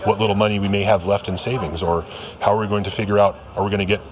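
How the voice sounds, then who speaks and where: A man's voice: fairly low pitch, fairly even intonation, racing. A man who works as an air traffic controller, speaking worriedly in a news clip. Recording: clip with crowd behind.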